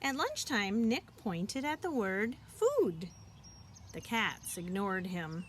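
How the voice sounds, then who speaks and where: Slow, expressive woman reading a storybook. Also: medium pitch, background noise. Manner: expressive, deliberate delivery for children. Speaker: teacher reading a picture book aloud. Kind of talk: children's storybook read-aloud.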